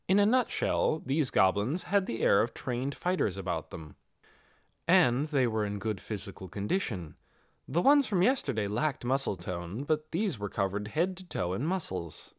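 A male narrator reads a fantasy novel aloud. His mid-pitched delivery is lively and varied, recorded dry with no room sound. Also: normal speed, dry recording. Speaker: audiobook narrator.

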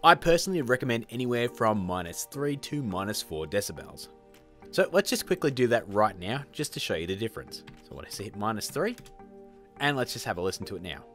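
Animated male tutorial host. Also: fairly low pitch, fairly quick, soft background music. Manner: chummy, informal, addresses viewers as guys. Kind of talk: upbeat video tutorial presenting.

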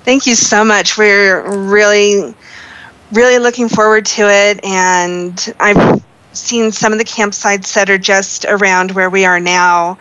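A woman's voice: mid-range voice, fairly even intonation, takes it slowly, fairly bright tone, smooth, muffled recording. A woman giving earnest, casual interview answers as a member of a charity team. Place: online voice chat in a virtual world.

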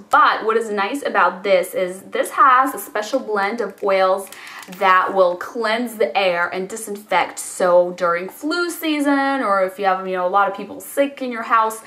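A young woman with a hoarse, cold-worn voice chatting casually to camera about home scents, with plenty of fillers. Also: medium pitch, fairly bright tone. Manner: chatty, lots of fillers like you know and um. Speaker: beauty and home vlogger. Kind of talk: casual product chat to camera.

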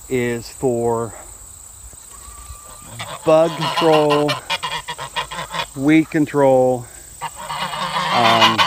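A man filming his farmyard outdoors on a handheld phone, explaining at an easy, relaxed pace. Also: mid-range voice, slight background noise. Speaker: homesteader showing his farm. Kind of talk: casual walk-through narration.

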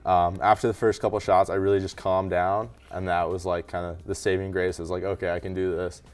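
A young man from Canada answers questions in a small brick-walled TV studio through a lapel mic. His voice sits low and comes across clean and smooth, and he speaks quickly and modestly, leaning on words like "kinda.". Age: young adult. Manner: relaxed, modest, many fillers like "kinda" and "um". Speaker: college hockey goalie guest.

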